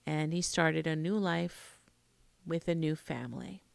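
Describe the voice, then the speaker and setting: A woman in her late forties with an American accent, telling a personal story to camera in an expressive way with pauses for emphasis. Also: low voice, vocal fry, no echo. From Queens, New York, United States. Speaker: vlogger, emotional intimacy coach.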